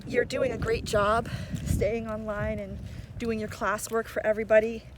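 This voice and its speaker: A woman's voice: a little high, level tone, normal speed. A middle-aged woman speaking as an encouraging teacher in a casual video message to students, recorded outdoors in a park. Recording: outdoor park ambience noise.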